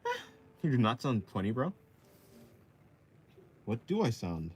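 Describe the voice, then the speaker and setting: A man's voice: medium pitch, wildly swooping pitch, measured pace, darkish tone, slight background noise. A man of twenty, a live-streaming artist, chatting casually with his viewers and answering their comments while he draws. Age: 20s. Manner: laid-back, reads chat aloud and answers. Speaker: art streamer.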